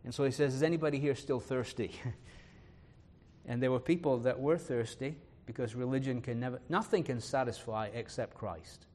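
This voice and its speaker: Earnest preacher with a Leeds accent. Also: male voice, medium pitch, darkish tone. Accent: Leeds English, touched by Irish and American. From northern England. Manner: earnest, fills pauses with "uh" and "um"; reads scripture slowly with emphasis. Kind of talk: sermon with scripture reading.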